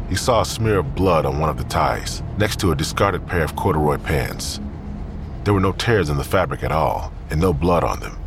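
A man's voice: a bass voice, noisy background. A man reading scripted crime fiction as the narrator.

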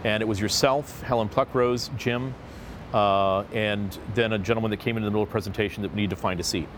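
A man hosting a casual roundtable discussion, talking in an animated and jokey way with an upbeat lilt. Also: on the low side, fast, a little hiss or hum. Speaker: host of a discussion panel. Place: seated at a table in a city.

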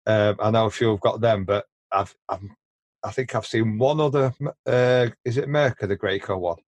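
A man's voice: fairly low pitch, moderate pace, dry recording. Chatty male podcast host. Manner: chatty, repeats words while starting sentences. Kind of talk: casual chat.

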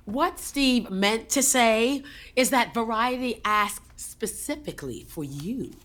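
An actress playing a diplomatic producer who smooths over conflicts. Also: female voice, fairly high pitch, lively intonation, slow, fairly bright tone. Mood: placating. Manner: diplomatic, soothing. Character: placating woman producer, smoothing things over.

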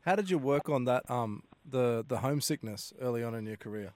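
A male co-host asks questions quickly and casually, stumbling and restarting often. His voice sits mid-range and sounds close and dry. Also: medium pitch, lively intonation, no room sound. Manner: warm, casual, many fillers and restarts. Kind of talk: casual interview chat.

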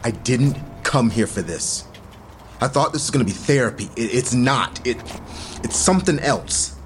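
A man's voice: mid-range voice, lively intonation, moderate pace, slight background noise, natural voice. A man playing a guarded, troubled therapy-group member in an audio drama, blunt and reluctant. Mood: upset, defensive.

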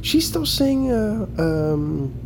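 A man's voice: sits high, very animated, extremely slow, creaky, music in the background, exaggerated voice, poor audio. A man playing a genial middle-aged father and security chief in an audio drama, casual and slightly awkward. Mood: awkward, tender. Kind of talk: naturalistic audio drama acting.

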